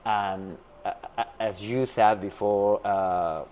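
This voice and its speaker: Guy from brooklyn being interviewed, kinda slow, keeps saying uh and restarting. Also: on the low side, steady tone, darkish tone, faint music behind. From Brooklyn, New York. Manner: hesitant, many fillers and restarts. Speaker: interview guest, artist and teacher. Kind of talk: casual interview talk.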